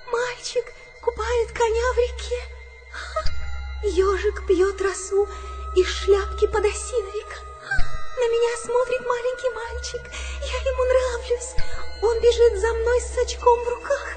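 A woman's voice: extremely high pitch, fairly even intonation, a little slow, over music. An actress playing a dying butterfly in a radio play, dreamily and wistfully savouring her life's loveliest moments. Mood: dreamy, wistful.

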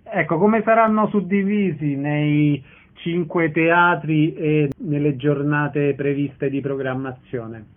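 Man hosting a radio interview, higher-pitched voice. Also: fairly even intonation, slow pace, phone-quality sound. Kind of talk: radio interview, asking questions and announcing details. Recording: radio broadcast sound.

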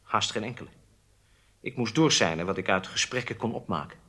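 An actor playing a frightened, compliant captured German spy in a radio drama, halting and submissive. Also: male voice, fairly low pitch, animated, fairly bright tone. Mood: anxious, confessing. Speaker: prisoner under interrogation.

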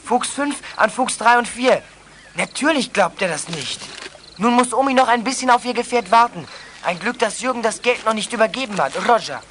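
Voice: mid-range voice, animated, normal speed, fairly bright tone, a little hiss or hum, lightly acted. An actor playing an easygoing young detective scout who gives telegram-style radio reports in a German youth radio play. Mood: calm, brisk.

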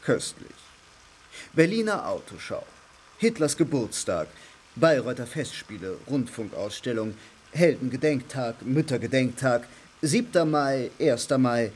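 An actor playing an urbane, wry theatrical gentleman in a radio drama, declaiming with relish. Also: mid-range voice, lively intonation, measured pace, slight background noise, lightly acted. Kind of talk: German radio drama acting.

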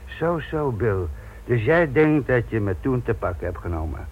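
A sly police inspector from a radio play, speaks in a medium-pitched male voice. He baits people with sardonic, mock-friendly remarks and a gruff edge. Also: sing-song, moderate pace, lo-fi sound. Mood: sardonic, needling. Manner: feigned friendliness, sardonic baiting. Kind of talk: radio drama acting.

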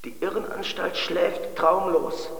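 A man's voice: high voice, unhurried, gravelly, fairly bright tone, heavily acted. An actor playing a brooding male reciter intoning grotesque night verse in a radio drama. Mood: brooding, cursing. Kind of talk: expressionist poetry recitation in radio play. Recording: echoing long reverb over faint music.

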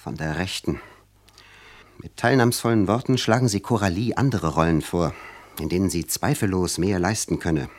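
A man's voice: sits low, normal speed, no echo. A man acting in a German radio play as an ambitious young writer, a devoted but anxious and bitter lover.

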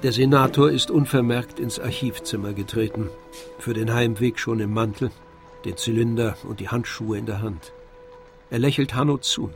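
A man tells a literary story as a radio drama narrator. His voice is mid-pitched and his delivery measured and formal, with faint music behind him.

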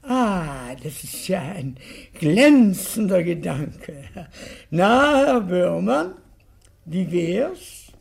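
Creaky old-school aristocrat baron, high voice, courtly n evasive, radio comedy. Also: male voice, big pitch swings, very slow, heavily acted. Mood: relieved, gracious. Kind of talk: classic German radio comedy acting.

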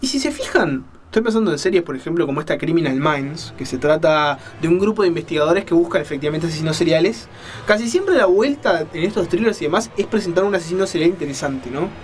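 A man's voice: fairly high pitch, lively intonation, quick pace, slight background noise. A man hosting a radio show, giving conversational, explanatory commentary on books and films and often checking in with little question tags. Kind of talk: conversational book and film commentary.

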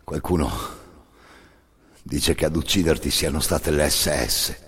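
A man with a gravelly voice performing an intense, incantatory scripted monologue in the second person, repeating phrases between long pauses. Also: extremely low pitch. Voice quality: gravelly, creaky. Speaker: actor and storyteller of a solo radio theatre monologue. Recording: music bed under the voice. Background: music.

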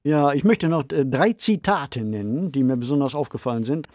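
A man co-hosting a podcast discussion, speaking in a measured, formal, explanatory manner. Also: mid-range voice, darkish tone, no room sound, clean studio sound. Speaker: podcast co-host. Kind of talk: discussion of fiction and ideas.

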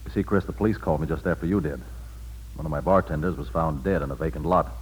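A self-assured nightclub boss in an old radio drama. He talks fast in a deep, husky voice, affable yet probing. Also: a little mellow, rough recording. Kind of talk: 1940s radio drama acting.

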